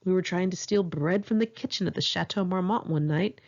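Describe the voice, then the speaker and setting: A woman's voice: fairly low pitch, a little fast, a little mellow, muffled recording. A woman reads her own memoir aloud in a candid, wry, conversational way, like a casual audiobook. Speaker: author reading own memoir.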